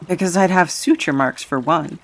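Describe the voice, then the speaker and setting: A beleaguered, sheepish hero, played by a woman, speaks in a slightly low voice. The delivery is hesitant and wry, in comic audio drama style. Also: moderate pace. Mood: puzzled.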